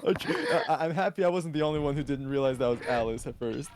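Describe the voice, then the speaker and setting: A man's voice: medium pitch, fast. A man chatting casually as a co-reader on a story livestream. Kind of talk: casual chat.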